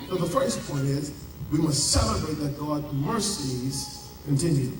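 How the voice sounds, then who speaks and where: A Bahamian man preaching a sermon as pastor, with dramatic pauses and drawn-out emphasis. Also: a little high, background noise. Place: large church. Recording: echoey church heard from a distance.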